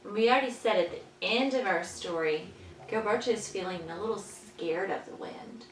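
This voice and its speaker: A woman teaching young children, patiently explaining in simple words and pausing after questions, as in a story lesson. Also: medium pitch. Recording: echoey room, distant mic, faint noise.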